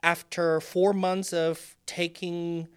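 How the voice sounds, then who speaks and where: Smooth-voiced Puerto Rican-born man. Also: on the high side, little pitch movement, takes it slowly. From Puerto Rico. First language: Spanish. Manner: thoughtful, many ums and restarts. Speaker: guest, fashion designer. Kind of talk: casual interview talk.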